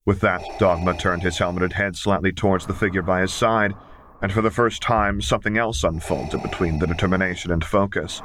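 A man's voice: low voice, steady tone, brisk, a bit bright, slight background noise. A man reading scripted fiction aloud as an audiobook narrator. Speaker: audiobook reader.

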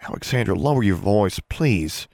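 A prim older brother from an audiobook, voiced by a man, earnest and protective. He speaks in a solemn, measured way that turns pleading, recorded close and dry. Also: fairly low pitch, expressive, gravelly, darkish tone, no echo. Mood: grim pleading. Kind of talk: audiobook character voice.

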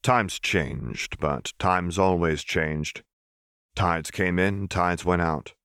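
Low-voiced man reading a story. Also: extremely slow, fairly bright tone, clean tone, no echo, studio quality. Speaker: audiobook reader. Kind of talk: scripted narration of fan fiction.

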